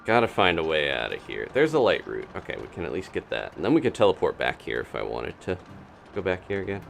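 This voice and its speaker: A man's voice: sits low, sing-song, fast talker, vocal fry, fairly bright tone, faint music behind. A man giving casual live video game commentary as a streamer, musing to himself and thinking aloud as he plays.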